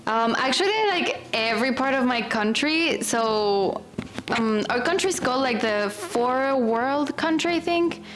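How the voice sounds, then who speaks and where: A woman's voice: high voice, animated, unhurried. Chatty woman from Ecuador. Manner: chatty, searches for words. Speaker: guest. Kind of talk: casual podcast chat.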